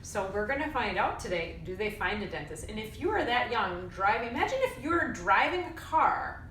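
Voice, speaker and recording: Lively woman reading aloud in an echoey room. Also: mid-range voice, normal speed, a little hiss or hum. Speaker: teacher reading a chapter book aloud. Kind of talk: expressive read-aloud storytelling. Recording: roomy echo, distant mic.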